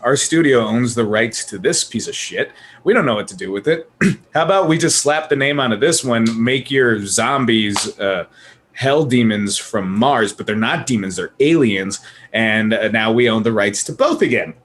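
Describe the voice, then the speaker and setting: A man co-hosting a casual panel chat about movies, animated and swearing freely, acting out studio voices. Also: mid-range voice, normal speed, slight background noise. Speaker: podcast co-host.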